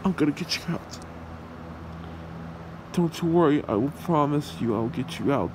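A man's voice: on the high side, moderate pace, lightly acted. A man voicing an earnest, desperate young hero and devoted boyfriend in an ASMR roleplay, with a pleading manner. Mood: earnest, determined. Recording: clearly noisy home recording, steady hum.

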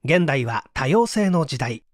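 An audiobook narrator reading a self-help book aloud in a scripted, explanatory way with clear articulation. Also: medium pitch, very animated, a little slow, no echo, studio quality.

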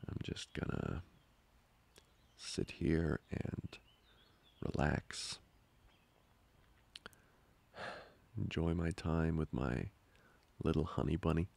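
A man playing a jealous, protective young boyfriend in an improvised ASMR roleplay, grumbling with halting, sarcastic asides. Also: a little mellow, full character voice. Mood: grudging, resigned. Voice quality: very deep, gravelly, husky.

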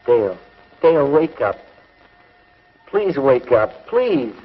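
An actor playing a resolute young space hero in a 1950s TV serial, earnest and firm, with an American accent. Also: male voice, mid-range voice, sing-song, slow, slightly rough, exaggerated voice. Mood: anguished pleading. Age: young adult. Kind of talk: 1950s television serial acting. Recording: dull, lo-fi 1950s television soundtrack.

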